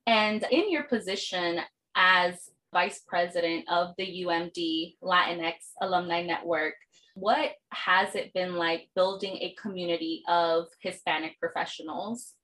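A woman's voice: fairly low pitch, unhurried, bright, forceful tone, slight background noise, low-quality recording. A woman from the United States hosts a podcast interview. She speaks in a friendly, encouraging way, thanking her guest and leading into each question. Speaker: podcast host.

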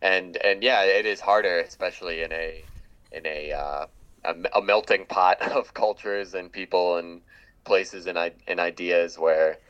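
A man's voice: deep voice, fairly even intonation, a little slow, fairly bright tone, clean tone, dull audio. A man hosting a casual conversation, talking thoughtfully and hesitating with fillers.

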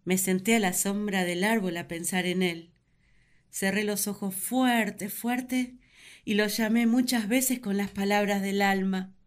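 A woman's voice: mid-range voice, fairly even intonation, a little slow, close to an everyday voice. An actress playing an earnest, lovesick first woman who confides her feelings in a sentimental radio drama monologue. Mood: yearning.

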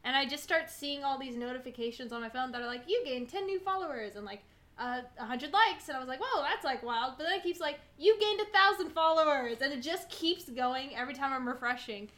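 High, bright-voiced young woman, jokey. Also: a little fast. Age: young adult. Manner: animated, jokey, slangy. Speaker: podcast guest. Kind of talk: casual chat, comic storytelling.

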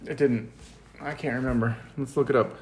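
A man's voice: mid-range voice, steady tone, fairly quick. Casting: man leading a family scripture reading, plain read-aloud with casual asides, roomy echo. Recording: roomy echo, faint background noise.

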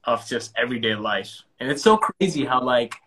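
Bright-voiced guy hosting a live stream, punjabi first language, eager, trips over his words. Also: mid-range voice, animated, normal speed. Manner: enthusiastic, stumbles and repeats words. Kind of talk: casual live-stream interview.

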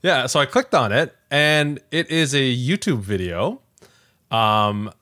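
A man's voice: medium pitch, lively intonation, unhurried, sharp tone. A male podcast host with a mid-range voice talks casually to his co-host. He speaks conversationally, hedging and working out his thoughts as he goes.

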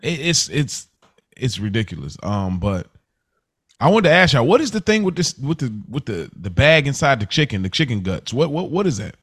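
A man's voice: mid-range voice, big pitch swings, normal speed, a bit bright, breathy edge. A man co-hosting a podcast, chatting casually in an amused, slangy way. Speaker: podcast co-host reviewing a TV series. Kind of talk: casual chat.

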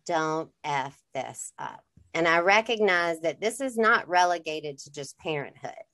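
A woman's voice: sits low, lively intonation, unhurried, bright, forceful tone. A woman in her thirties talking in a reflective, candid way in a casual conversation.

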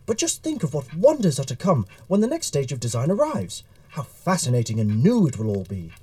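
An actor playing a courteous, earnest, easily flustered Victorian gentleman writer who conducts interviews in an audio drama. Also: on the high side, huge pitch swings, fairly quick. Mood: polite, optimistic. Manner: courteous, hesitant, bookish.

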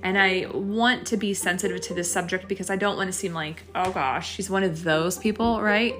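Middle-aged woman, podcast host, loose rambling chat with fillers, boom mic, soft music bed. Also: normal speed. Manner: chatty and self-deprecating, with many fillers and laughs. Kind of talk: casual solo podcast chat. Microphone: boom microphone.

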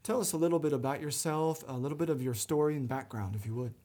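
A man's voice: fairly high pitch, fast, mellow. A man hosting a podcast and interviewing a guest with an upbeat, polished delivery. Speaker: podcast host, life coach. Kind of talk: scripted intro then interview.

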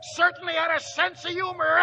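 An actor playing a seasoned old American gold prospector, folksy and wry, in 1940s radio drama style. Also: male voice, very high-pitched, level tone, normal speed, gravelly. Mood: laughing bitterly. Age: older. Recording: dull, noisy old radio recording.

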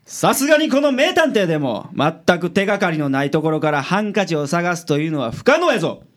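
A self-important private detective in a radio drama, played by a man. He speaks with a Kansai accent in a gruff, boastful manner. He treats every case as beneath a great detective like himself. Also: a little high, big pitch swings, a little fast. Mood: grumpy.

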